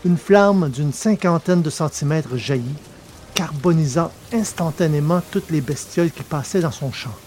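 A man reading a science fiction story aloud in a steady, measured narration. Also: a little high, a little hiss or hum. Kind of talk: scripted audiobook narration.

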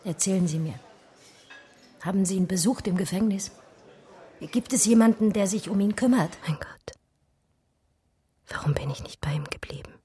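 An actress in a radio drama playing an emotional, wistful art historian, with a breathy, husky voice that often sinks toward a whisper. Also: female voice, mid-range voice, moderate pace, pauses often, warm tone. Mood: anguished, pleading. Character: distraught art historian recalling a lost lover, wistful and urgent. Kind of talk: radio drama dialogue and intimate first-person narration.

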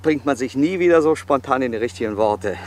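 With faint outdoor noise around him, a man plays a film director in a radio drama. His pitch is average for a man, and he talks in a fussy, placating, explanatory way, hesitating as he steers people along. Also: lively intonation, fairly quick, a little mellow, lightly acted. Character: fussy, persuasive male film director on location. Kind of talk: naturalistic radio play acting.

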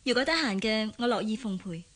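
A woman's voice: on the high side, animated, a little fast, a bit bright. An actress playing a coy, teasing young woman in love in a Hong Kong Cantonese radio drama. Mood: sincere.

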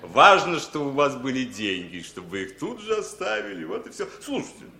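Animated, high-voiced jovial businessman. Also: normal speed, gravelly, echoey room, exaggerated voice. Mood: jovial, expansive. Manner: chatty, repeats words, chuckling, insistent. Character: talkative, worldly foreign capitalist businessman, jovial and persuasive. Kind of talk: 1960s Soviet radio play acting.

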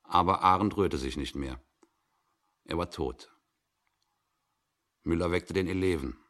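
Deep voiced guy reading a creepy story, measured and suspenseful, old 60s radio. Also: a bit bright. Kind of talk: dramatic scripted story reading. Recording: 1960s radio production.

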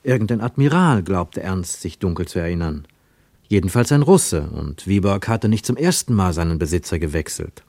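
A man narrating a literary radio play in a measured, precise, wry storytelling manner. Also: low-pitched, expressive, darkish tone, no echo. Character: measured, wry male narrator of a travel tale.

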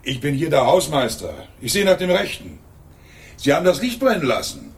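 A gruff, contemptuous caretaker, high-pitched for a man and swinging wildly in tone. He scolds and sneers like a petty house tyrant in an old radio play. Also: huge pitch swings, normal speed. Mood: curt. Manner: gruff, contemptuous, bursts into shouting. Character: hostile bigoted male building caretaker. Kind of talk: radio drama acting.

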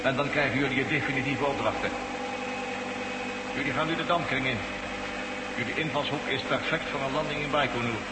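Very noisy, reverberant radio-play recording of a menacing commander, acted by a man. He speaks from far off, condescending and deliberate, handing orders down to his captives. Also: mid-range voice, fairly even intonation, close to an everyday voice. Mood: cold, instructing. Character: menacing male commander of new masters, controlling captives from orbit. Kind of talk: Dutch science fiction radio drama acting. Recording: heavy noise, echoing hall, distant voice.

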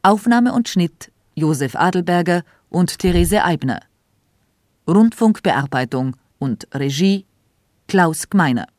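A woman's voice: on the low side, animated, a little mellow, no echo, clean studio sound. A woman announcing the cast and credits of a radio play in a formal manner. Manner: slow, formal.

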